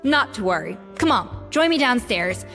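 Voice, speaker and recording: Snappy proud chef lady, dry wit, bit high, reverby with music under. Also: very animated, normal speed, a bit bright. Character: proud sharp-tongued woman head chef. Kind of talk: dubbed game dialogue.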